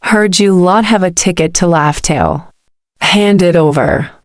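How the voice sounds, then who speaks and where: Woman playing a low, menacing lady pirate. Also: unhurried, no room sound, studio quality, natural voice. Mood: threatening. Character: menacing, scheming female pirate of a rival crew. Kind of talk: anime audio drama acting.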